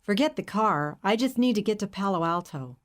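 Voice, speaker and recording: A woman's voice: mid-range voice, lively intonation, brisk, lightly acted. Woman playing a weary man, lost, apologetic, tired. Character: exhausted, lost middle-aged European man.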